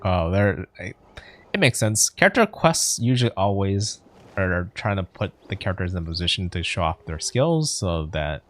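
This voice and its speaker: A man streaming a video game, chatting casually and thinking aloud, with game music in the background. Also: low voice. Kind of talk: casual let's play commentary.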